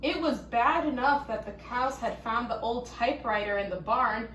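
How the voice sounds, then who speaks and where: A woman reading a children's story aloud, expressive and deliberate, stopping to prompt young listeners with questions. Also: on the high side. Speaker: storybook reader leading children. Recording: roomy echo, faint background noise.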